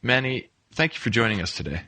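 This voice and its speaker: A man hosting a business interview, asking polite, measured questions. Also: medium pitch, very animated, sharp tone, dry recording. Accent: non-Australian, immigrant.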